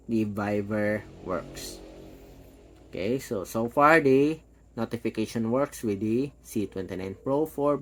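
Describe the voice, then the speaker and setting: A man giving a casual, hands-on product demo, talking step by step in short, halting phrases. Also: on the low side. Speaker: product reviewer.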